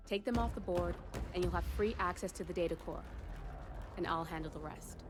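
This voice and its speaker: An actress voicing a composed female handler who briefs the player in a measured, businesslike way. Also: lowish voice, close to an everyday voice. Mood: cool. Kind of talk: game cutscene briefing. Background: cutscene music.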